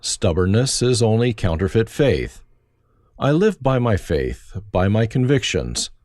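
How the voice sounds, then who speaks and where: Man, low voice, lively pitch. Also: measured pace, no room sound. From Germany. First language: German. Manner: dry, self-deprecating humor. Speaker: autobiography narrator. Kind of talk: reflective first-person memoir reading.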